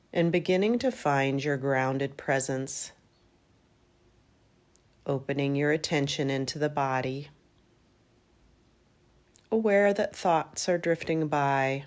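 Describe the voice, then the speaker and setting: A woman with a low voice guides a deep-rest meditation. She speaks very slowly and gently, leaving long pauses between short phrases. Her manner stays calm throughout. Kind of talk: guided yoga nidra meditation.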